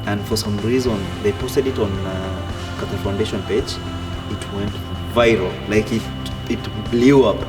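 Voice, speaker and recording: A man, telling his own story in a relaxed way with many fillers and restarts. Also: fairly low pitch, a little slow. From Kenya. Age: 30s. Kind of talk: casual personal storytelling. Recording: distant mic with music behind.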